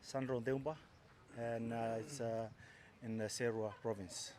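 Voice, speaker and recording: A man's voice: medium pitch, steady tone, a little slow, crowd noise. A man in his thirties from Fiji, a rugby captain answering interview questions hesitantly with many filler words. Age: 30s. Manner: hesitant, lots of uhs.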